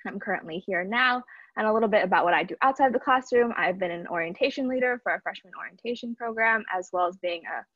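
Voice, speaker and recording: A woman's voice: mid-range voice, fast, no echo. A young woman from New York City introducing herself as a student panelist on a video call. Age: young adult. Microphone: video call microphone. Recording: dull, dry video-call audio.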